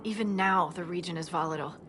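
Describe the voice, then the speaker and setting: Actress as low-voiced, grave woman scout. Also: background noise, close to an everyday voice. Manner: formal, measured briefing. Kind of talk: video game voice acting.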